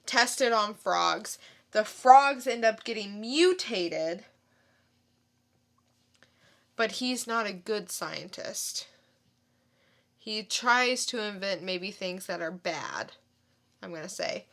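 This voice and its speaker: A woman co-hosting a podcast in casual chat, thinking out loud in a halting way. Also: medium pitch, expressive. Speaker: podcast co-host. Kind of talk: casual comic book chat.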